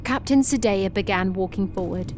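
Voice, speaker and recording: A woman's voice: medium pitch, normal speed, soft background music. Woman narrating a sci-fi story. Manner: steady, descriptive reading.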